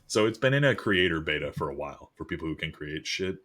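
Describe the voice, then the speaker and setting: Deep-voiced young guy, very low pitch, laid-back gaming chat with lots of swearing. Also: expressive, fast talker, a bit bright, a little hiss or hum. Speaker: podcast co-host. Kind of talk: casual chat about video game news.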